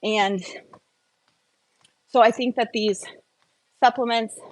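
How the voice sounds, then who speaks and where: A woman's voice: on the high side, steady tone, takes it slowly, no room sound, poor audio. A woman chatting casually and candidly in a personal vlog, opinionated and prone to rambling off on tangents. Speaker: wellness vlogger.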